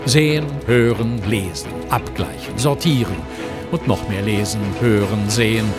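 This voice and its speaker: A man's voice: lowish voice, measured pace, over music. A man reading scripted radio trailer narration in clipped lists.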